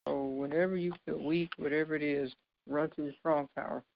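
A woman in her thirties with a deep, low voice, giving an informal, earnest devotional sermon and laughing lightly between points. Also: fairly even intonation, brisk, gravelly, dry recording, muffled recording. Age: 30s. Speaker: speaker giving a devotional talk.

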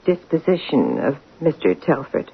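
An actress playing a sly, blackmailing housekeeper in an old-time radio drama, falsely sweet and insinuating. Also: female voice, low-pitched, sing-song, normal speed, husky, exaggerated voice. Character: sly blackmailing housekeeper, middle-aged woman. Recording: tinny lo-fi radio recording.

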